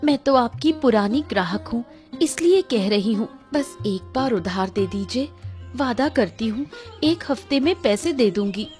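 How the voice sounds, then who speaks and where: A woman's voice: fairly high pitch, lively intonation, measured pace, darkish tone, voice pushed up. A woman voicing a humble, wronged village wife, earnest and pleading, in a cartoon story. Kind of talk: cartoon story character acting. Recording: music under the voice.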